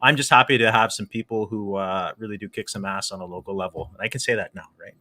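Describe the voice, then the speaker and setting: A man's voice: lowish voice, quick pace, sharp tone, no room sound. A man hosting a casual podcast interview, enthusiastic and often restarting his words. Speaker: podcast host.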